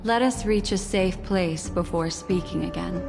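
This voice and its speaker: A woman's voice: lowish voice, a little slow, vocal fry, in a large hall, music in the background. An actress playing a composed, mysterious and soothing woman in a video game, speaking formally with elegant poise.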